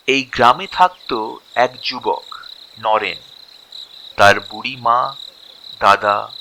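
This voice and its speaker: A man narrating a scripted story expressively, in short phrases with dramatic pauses. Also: mid-range voice, fairly even intonation, creaky, bright, forceful tone, a little hiss or hum, low-quality recording.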